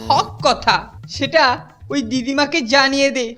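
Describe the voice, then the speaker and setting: A woman's voice: high voice, unhurried, a bit bright, soft background music. A woman voicing a bossy, cowardly older boy who leads a gang in a cartoon, blustering and ordering the others about. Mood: bossy, pompous. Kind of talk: cartoon voice acting.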